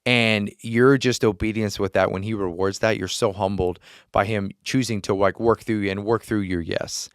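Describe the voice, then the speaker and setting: A man with a lowish, clean voice talks earnestly on a faith podcast, restarting sentences and laughing as he tells a story. The sound is close and dry. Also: fairly even intonation, normal speed, smooth, no echo. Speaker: podcast host. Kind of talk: casual faith chat. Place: podcast studio.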